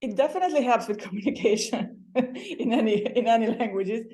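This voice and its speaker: A woman's voice: fairly high pitch, animated, brisk, mellow. Chatty Hungarian-accented woman. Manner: chatty, laughs often, some restarts and slips. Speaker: guest. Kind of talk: casual interview chat.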